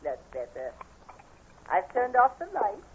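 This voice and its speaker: An actress playing a gentle, soothing aunt with a coaxing, tender manner in 1940s radio drama style. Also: female voice, mid-range voice, sing-song, measured pace. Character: gentle, soothing aunt caring for her blind nephew. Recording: dull, hissy 1940s radio recording.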